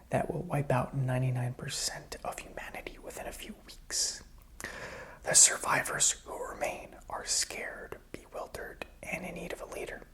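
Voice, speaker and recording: A man playing a calm, helpful survivor in a zombie apocalypse ASMR roleplay, whispering and speaking softly in a hesitant, intimate, musing way. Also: mid-range voice, close to an everyday voice. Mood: quiet, musing.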